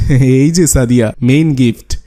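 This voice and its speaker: A man voicing a kindly middle-aged uncle in a cartoon, speaking in a gently persuasive manner. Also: mid-range voice, takes it slowly, natural voice. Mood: affectionate. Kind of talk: cartoon dialogue.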